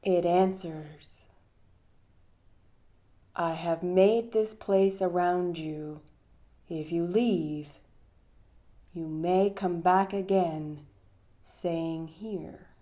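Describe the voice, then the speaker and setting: A woman leading a guided meditation with poetry readings, speaking soothingly with long pauses and a breathy trail-off at the ends of phrases. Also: on the low side, fairly even intonation, dark tone.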